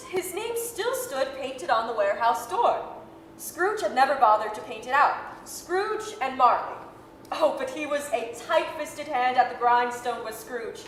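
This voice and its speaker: A woman narrating a story dramatically, with emphatic, deliberate phrasing. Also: high voice, fairly bright tone. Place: performance hall stage. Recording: echoey hall with reverb, faint noise.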